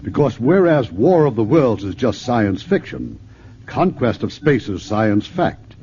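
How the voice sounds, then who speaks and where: A man hosting a 1950s radio theater show with a smooth, announcer-like delivery. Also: mid-range voice, very animated, a little slow, warm tone, slight background noise. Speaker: radio host. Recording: dull old radio broadcast.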